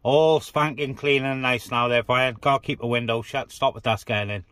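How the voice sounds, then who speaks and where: A man's voice: mid-range voice, fairly even intonation, moderate pace, a bit bright. A man vlogging in a casual, chatty way, talking straight to his viewers. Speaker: vlogger and radio hobbyist. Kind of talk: casual chat to camera. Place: outdoors on moorland and inside a parked car. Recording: clicky with faint background noise.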